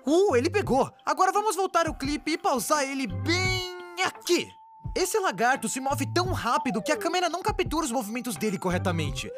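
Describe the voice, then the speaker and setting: A woman voicing an excitable, cheeky young cartoon YouTuber, narrating an explainer in a chatty, jokey way that speaks straight to the viewer. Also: sits high, brisk, music in the background. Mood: curious, explaining. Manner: chatty, exclamatory, addresses the viewer directly.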